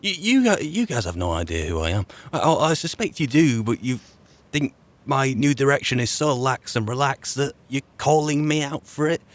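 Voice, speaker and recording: A man's voice: medium pitch, sing-song, normal speed. A man roleplaying a wry, boastful archmage adventurer, muttering sardonic asides in colloquial speech as he plays. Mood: irritated, boastful. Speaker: roleplaying gamer narrating in character as an adventurer mage. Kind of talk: in-character game roleplay commentary.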